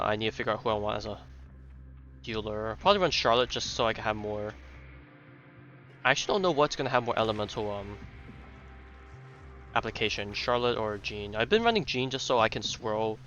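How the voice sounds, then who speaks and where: A man's voice: lowish voice, fairly even intonation, fairly quick, pauses often, bright, forceful tone. A man streaming a video game, thinking aloud in casual commentary. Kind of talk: casual live gameplay commentary. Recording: slightly dull audio, game music behind.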